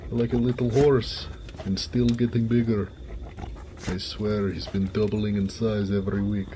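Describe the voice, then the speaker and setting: Man playing a low, unhurried orc blacksmith. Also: little pitch movement, noisy background, close to an everyday voice. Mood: playful, fond. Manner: folksy, affectionate, easygoing. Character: big, warm-hearted orc blacksmith, affectionate and jovial. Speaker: audio roleplay voice actor. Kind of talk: intimate romantic audio roleplay, one-sided conversation.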